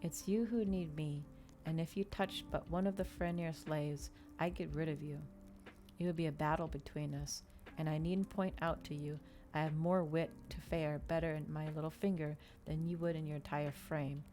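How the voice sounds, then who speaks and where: A woman voicing a brooding, articulate male vampire from a novel in a formal, deliberate manner. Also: deep voice, fairly even intonation, dark tone, a little hiss or hum, close to an everyday voice. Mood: cold, intense.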